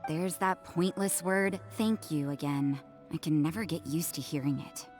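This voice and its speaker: A woman voicing a cool, blunt nun in a video game, deadpan and detached, with music behind. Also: low-pitched, moderate pace, natural voice. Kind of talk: anime-style video game voice acting. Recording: music in the background.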